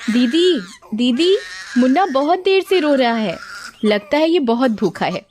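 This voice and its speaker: A woman voicing a gentle, caring young aunt in a story, pleading and respectful. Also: high voice, lively intonation, a little slow, slight background noise, pitched above the performer's own voice. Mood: pleading, concerned.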